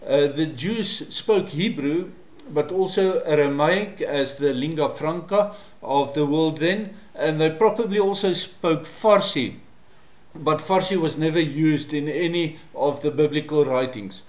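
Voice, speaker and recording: Afrikaans-man teaching in an echoey room. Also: fairly high pitch. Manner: measured and explanatory, with frequent fillers. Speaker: Bible study presenter. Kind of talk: solo teaching monologue to camera.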